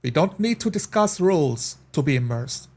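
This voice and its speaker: A man's voice: on the high side, very animated, moderate pace, darkish tone. A man in casual discussion of tabletop game rules, giving opinions and reasoning through examples.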